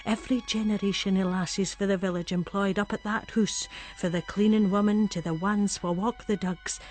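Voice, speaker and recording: A woman's voice: on the low side, a little mellow, natural voice. An actress playing a gossipy, superstitious Scottish village woman, chatting conspiratorially in Scots dialect as a dramatic monologue.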